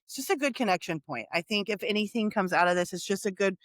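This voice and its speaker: A woman's voice: mid-range voice, expressive, quick pace, dry recording, clean studio sound. A woman co-hosting a podcast, blunt and casual, who swears freely and laughs easily. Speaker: podcast co-host. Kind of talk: casual chat.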